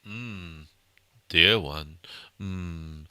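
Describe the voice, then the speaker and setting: A man voicing an ancient, benevolent cosmic dragon spirit guide, speaking formally and haltingly with frequent hummed pauses, as in a channeled spiritual message. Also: low-pitched, sharp tone, clear, resonant tone, no room sound, heavily acted. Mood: solemn, consoling. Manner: halting, hums "mm" between phrases, formal archaic phrasing.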